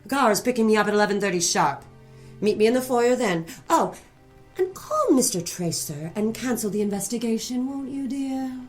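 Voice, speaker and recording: A woman's voice: on the high side, lively intonation, moderate pace, soft background music, lightly acted. An actress playing a cruel, haughty middle-aged mother in a noir audio drama, scolding and condescending. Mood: quiet, menacing. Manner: imperious, scolding, condescending endearments. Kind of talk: noir radio drama acting.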